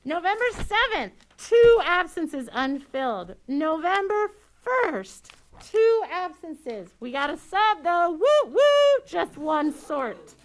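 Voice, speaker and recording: A woman speaks at a podium microphone during a public meeting, reading out a list with exasperated, theatrical gasps and mock cheers. Her voice sits very high and swoops around, and she takes her time with each item. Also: very animated, takes it slowly, low-quality recording. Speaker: public commenter at a school board meeting.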